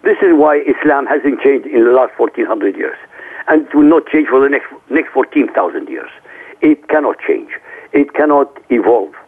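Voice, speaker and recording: A man's voice: medium pitch, animated, normal speed, breathy edge. A middle-aged man from Iraq, a native Arabic speaker, speaking emphatically in a lecturing way as a radio talk show guest. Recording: tinny phone line.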